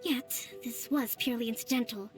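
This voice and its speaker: A woman voicing a calm young strategist. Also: on the high side, normal speed, soft background music, lightly acted. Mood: firm. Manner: composed, gentle, polite. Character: calm young female island leader and strategist.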